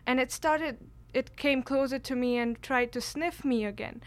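Teen girl, smooth clear voice, a bit high, earnest storytelling, stumbles and repeats words. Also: normal speed. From Kashmir, India. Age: late teens. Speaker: exchange student. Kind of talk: personal storytelling.

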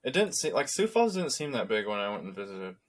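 A man's voice: fairly low pitch, sing-song, fast. A man in his mid thirties co-hosting a podcast, laid-back and joking. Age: 30s. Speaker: podcast co-host. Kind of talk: casual chat.

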